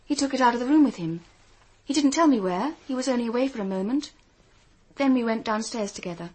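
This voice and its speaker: An actress playing a young English private detective in a radio drama, speaking politely and earnestly. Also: female voice, on the high side, expressive, fast, darkish tone, husky. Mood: subdued, factual. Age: young adult. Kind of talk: British radio drama acting.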